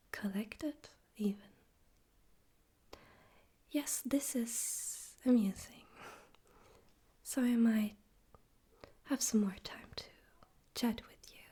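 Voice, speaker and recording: A woman plays a demon overlord of hell in a whispered ASMR roleplay, soft and husky. The delivery is very slow and deliberate, with a teasing, condescending amusement and quiet menace. The tone stays dark and mellow. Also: on the high side. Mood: amused menace. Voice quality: breathy whisper.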